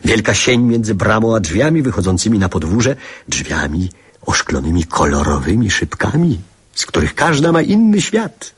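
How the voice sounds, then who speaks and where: A nostalgic, reflective man narrates a literary radio drama. His low voice is very husky, and he speaks slowly and lyrically with wide, expressive swings in pitch. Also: full character voice. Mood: wistful, tender. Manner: measured, lyrical, hushed at times.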